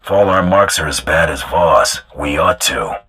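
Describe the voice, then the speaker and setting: A man playing a gruff, cocky mercenary bounty hunter in a video game, with a gravelly voice and a terse, wry way of talking. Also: rumbling low, animated, unhurried, bright, forceful tone, a little hiss or hum, heavily acted. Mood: cocky, relaxed. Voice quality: gravelly, husky. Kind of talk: video game character acting.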